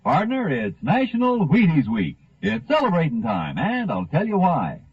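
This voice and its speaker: A man giving a folksy, enthusiastic, emphatic commercial pitch as a 1950s radio announcer. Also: huge pitch swings, normal speed, breathy edge. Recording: thin, narrow 1950 radio recording.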